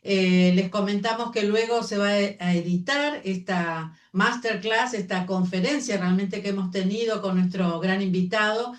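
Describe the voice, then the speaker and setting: A woman host closing a livestreamed class, speaking graciously and deliberately and thanking her guest. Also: medium pitch. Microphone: video call. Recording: echoey room, distant mic.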